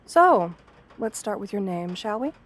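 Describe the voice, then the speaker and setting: A woman plays a wolf-girl therapist in an ASMR roleplay, with a soft-spoken voice of medium female pitch. She is gentle and understanding, choosing formal words with measured care. Her manner stays composed, professional and reassuring. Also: sing-song. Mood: polite, welcoming.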